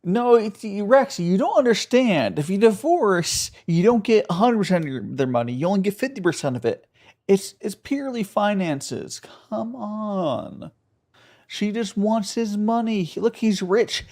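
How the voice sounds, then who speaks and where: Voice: medium pitch, expressive, measured pace, a little mellow. A streamer joking around and rambling during live gameplay commentary. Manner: joking, playful, rambling asides. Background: faint game music.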